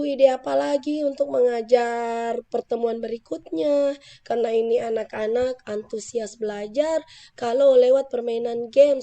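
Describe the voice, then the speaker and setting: Woman teacher, Central Sulawesi Indonesian accent, hoarse from a cold, earnest, flat delivery, mellow tone. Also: on the high side. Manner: polite, earnest, explains at length. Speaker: teacher being coached. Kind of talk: role-play coaching conversation.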